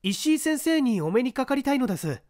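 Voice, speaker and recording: A man voicing an earnest unemployed young man in a read-aloud mystery, speaking excitedly and pleadingly. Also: a little fast, no room sound, clean studio sound. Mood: eager. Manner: excited, pleading, rising pitch.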